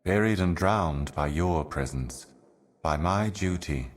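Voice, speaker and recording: Game role: ancient eternal envoy of darkness, bottomless low voice, slow, solemn, portentous delivery. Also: male voice, lively intonation. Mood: weary, haunted. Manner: grave, brooding, measured, portentous. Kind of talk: scripted game monologue, cryptic poetic narration.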